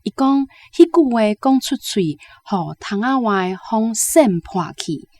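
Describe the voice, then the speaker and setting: 一位台灣口音的女性文學評論者，以聊天口吻分享讀書感想。. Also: female voice, fairly high pitch, animated, slow pace, warm tone. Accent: Taiwanese. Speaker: literary commentator. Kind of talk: conversational book commentary.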